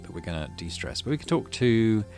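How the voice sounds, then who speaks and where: A man's voice: on the low side, sing-song, a little fast, a little mellow, faint music behind. A man hosting a gaming video, narrating on-screen story text and adding casual commentary. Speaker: gaming channel host. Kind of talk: let's play narration, reading game text with casual commentary.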